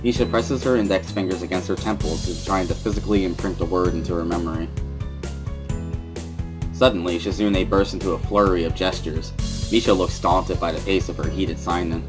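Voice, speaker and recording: Low-voiced, wry schoolboy narrator played by a man. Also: steady tone, a little fast, music in the background, lightly acted. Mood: reflective. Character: thoughtful, wry teenage schoolboy narrating his own story. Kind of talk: first-person dramatic reading of visual novel.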